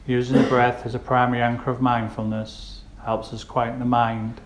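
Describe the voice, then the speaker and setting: Male meditation guide, mid-pitched and gentle, unhurried phrasing with pauses, flat steady intonation, echoey recording. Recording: roomy echo with faint background noise.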